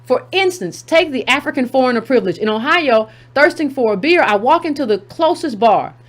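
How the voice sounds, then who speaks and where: A woman's voice: high-pitched, animated, fairly quick. A woman hosting a commentary channel, reading a text aloud and breaking in with emphatic asides, repeating key phrases for effect.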